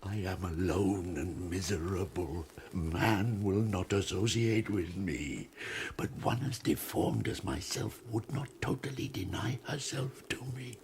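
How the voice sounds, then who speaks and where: A slow, low-voiced monster, lonely and hideous yet eloquent. The voice is gravelly and brooding, swinging from hushed murmurs to fervent, theatrical pleading in an audio drama. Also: male voice, slight background noise. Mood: miserable, pleading. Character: lonely, tormented, hideous male monster pleading with his maker.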